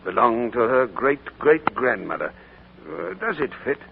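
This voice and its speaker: An actor playing a gruff but affectionate old uncle in a 1940s radio drama, muttering a little to hide his tenderness. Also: mid-range voice, big pitch swings, unhurried. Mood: gruffly tender. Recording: tinny, noisy 1940s radio broadcast.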